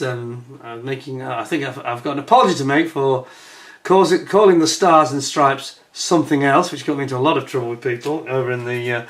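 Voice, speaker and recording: An older man from the United Kingdom with a croaky voice and a chesty cough, chatting casually to camera as host of his own channel, rambling with plenty of fillers and restarts. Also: medium pitch, fairly bright tone. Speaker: YouTube host.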